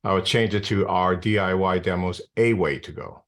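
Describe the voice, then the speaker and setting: A man's voice: deep voice, fairly even intonation, moderate pace, a bit bright. A man politely asking a question as an audience member.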